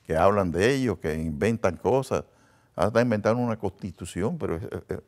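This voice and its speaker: A man, a television producer and guest, speaking earnestly with halting restarts. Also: on the low side, animated, darkish tone. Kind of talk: casual conversation.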